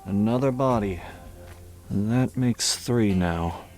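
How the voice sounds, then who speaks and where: A lowish, grim voice from a man playing a puzzled detective in an audio drama, slow and heavy. Faint music sits under his lines. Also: a little mellow.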